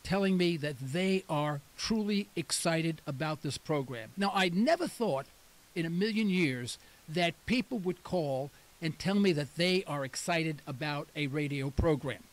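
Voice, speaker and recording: A man in his late sixties, speaking in a high voice with wide swings in pitch. He hosts a radio talk show and delivers an emphatic monologue, often repeating words for stress. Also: expressive, a little slow. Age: 60s.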